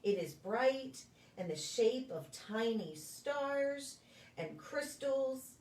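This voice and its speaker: Slow, lilting woman reading to young children. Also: high-pitched, expressive, a little mellow. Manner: deliberate, sing-song storytelling for young children. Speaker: pastor reading stories to preschool children. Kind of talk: read-aloud children's picture book. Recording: echoey room, distant microphone.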